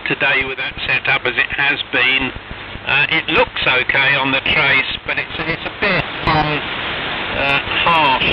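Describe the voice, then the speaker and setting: A man operating amateur radio, heard through a band-limited shortwave signal thick with noise. His pitch is middling for a man. He talks casually and haltingly, explaining technical matters in short pieces. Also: highs cut off. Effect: shortwave radio transmission. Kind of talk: casual radio net chat. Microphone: radio transceiver microphone heard over HF radio.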